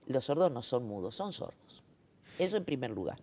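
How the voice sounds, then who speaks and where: A woman explains her work in a relaxed interview. Her pitch moves animatedly as she stresses her points. She uses frequent fillers and rhetorical checks. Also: normal speed. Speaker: interviewee, sign language interpreter. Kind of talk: conversational interview.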